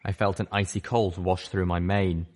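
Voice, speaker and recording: A man reading a story aloud as first-person narration, expressive and dramatic. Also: deep voice, normal speed. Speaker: audiobook reader.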